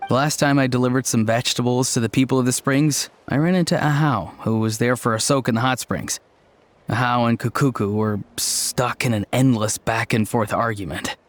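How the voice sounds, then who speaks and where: A man's voice: mid-range voice, steady tone, moderate pace, lightly acted. Man acting a mild, thoughtful young game character. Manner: hesitant, thoughtful.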